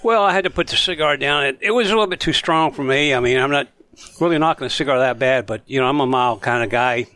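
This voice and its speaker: Mild-mannered man, halting speech. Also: medium pitch, pushed and bright, no room sound. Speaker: podcast panelist. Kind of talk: casual chat.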